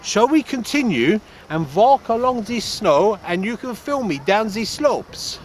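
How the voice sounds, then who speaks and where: A man's voice: sits high, sing-song, a little slow. A man playing a giggly, boastful Norwegian would-be tobogganist, speaking broken English in a put-on foreign accent outdoors. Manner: boastful and earnest. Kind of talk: improvised comedy sketch. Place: outdoors on a snowy slope. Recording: noisy outdoor recording, traffic nearby.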